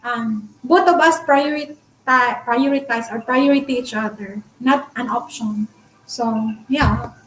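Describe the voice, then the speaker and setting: A woman's voice: on the high side, unhurried, fairly bright tone, roomy sound, a little hiss or hum, low-quality recording. A talkative, candid woman from the Philippines with a Filipino accent, whose first language is Bisaya, chatting casually with viewers on a live stream. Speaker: vlogger answering live chat.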